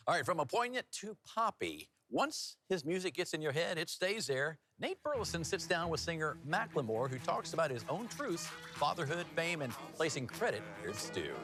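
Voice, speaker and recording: A man's voice: medium pitch, fairly bright tone. An American man anchoring a local TV newscast in an upbeat, chatty manner. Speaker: TV news anchor. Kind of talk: local TV newscast reading with casual banter.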